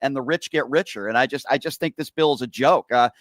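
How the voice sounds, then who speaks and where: A man's voice: medium pitch, fast talker, fairly bright tone, no echo, studio quality. A man from Connecticut hosting a heated political debate, pressing his guest with rhetorical questions. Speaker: host.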